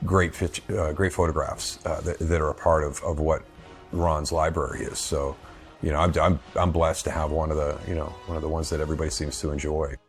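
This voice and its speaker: A man giving halting interview remarks, hesitating and repeating words as he goes. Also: very deep voice, little pitch movement, soft background music.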